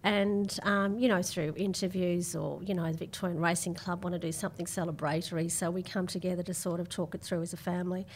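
A woman with an Australian accent talking as an interview guest, reflective and measured as she tells her story. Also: fairly low pitch, fairly even intonation, slight background noise. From Melbourne, Australia. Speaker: podcast guest.

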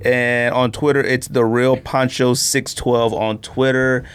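A man hosts a casual studio podcast at an average male pitch. He rambles in slangy, animated fashion, moving loosely from one aside to the next. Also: a little slow, clean tone. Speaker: sneaker podcast host. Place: podcast recording room, in studio.

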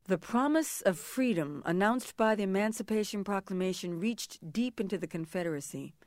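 Female narrator, mid-range pitch, scripted historical narration, measured, formal. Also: lively intonation, a little mellow, dry recording, clean studio sound.

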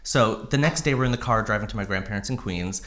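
A man's voice: lowish voice, fairly bright tone. A man in his thirties from Connecticut, a guest writer telling casual, self-deprecating stories in a studio interview. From Connecticut, USA. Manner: quick, chatty, self-deprecating anecdotes. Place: studio in New York.

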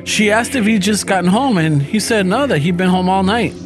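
A man with a Chicano English accent, casually reading a listener's story aloud on a podcast, relaxed and profane, mixing in Spanish phrases. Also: high-pitched, a little fast. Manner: laid-back, swears often, laughs through lines. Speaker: podcast host. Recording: music playing underneath.

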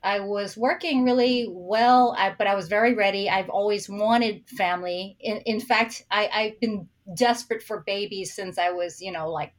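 A woman's voice: medium pitch, normal speed, few pauses. A middle-aged woman, born in San Francisco and raised in Hong Kong, talking reflectively as a podcast guest and often restarting her sentences. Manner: reflective, with frequent restarts and fillers. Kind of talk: casual interview talk.

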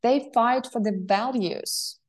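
A woman's voice: a little high, takes it slowly. Earnest Ukrainian-accented woman. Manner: earnest, reflective, with many fillers and restarts. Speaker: interview guest. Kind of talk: conversational interview answer.

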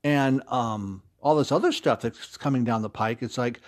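Expressive man chatting through interview answers. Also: medium pitch, moderate pace. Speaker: interview guest, audio drama writer and actor. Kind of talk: casual recorded interview answers.

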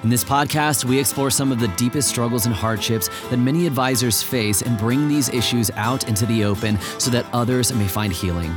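A man reading a podcast's scripted introduction in a smooth, measured announcer style. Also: mid-range voice. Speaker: show announcer. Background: guitar music.

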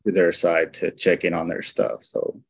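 A man's voice: low voice, level tone, moderate pace, creaky, no echo. A man who is a guest on a hunting radio show, giving casual interview answers with a lot of hesitation and restarts. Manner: hesitant, many restarts and fillers.

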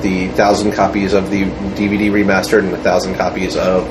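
A man's voice: low voice, completely flat delivery, brisk. A man being interviewed as an independent filmmaker, chatting casually in a group. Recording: noisy room with air-conditioning hum.

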